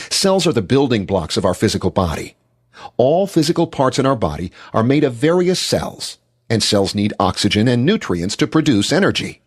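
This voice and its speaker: A man reading scripted explanatory narration in an emphatic, deliberate instructional manner. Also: mid-range voice, husky.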